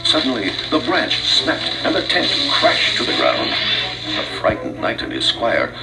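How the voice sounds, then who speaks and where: A man's voice: medium pitch, huge pitch swings, measured pace, creaky, low-quality recording. A man reading a scripted read-along children's story as narrator, with dramatic delivery. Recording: music under the voice. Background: music.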